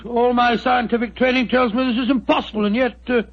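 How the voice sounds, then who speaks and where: An actor playing a bluff, skeptical Victorian doctor in a 1940s radio drama, flustered and stammering, on a lo-fi old broadcast recording. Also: male voice, extremely high pitch, flat delivery, quick pace. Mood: blustery.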